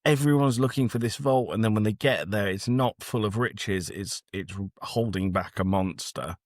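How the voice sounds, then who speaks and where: Low-voiced sardonic man bantering on a podcast. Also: normal speed, dry recording, clean studio sound. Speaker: podcast co-host. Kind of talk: casual banter, film commentary.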